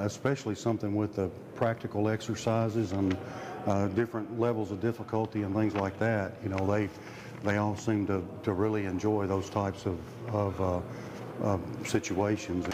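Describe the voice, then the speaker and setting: A man's voice: lowish voice, level tone, normal speed. A man giving hesitant, unscripted interview remarks, repeating words as he goes. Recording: clearly noisy interview recording.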